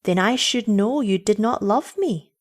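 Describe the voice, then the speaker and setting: Loving young-children's mother, played by a woman narrator, tender and soothing, expressive rise and fall. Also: mid-range voice, a little slow, lightly acted. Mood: gentle sadness. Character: gentle loving mother of small children, sadly firm.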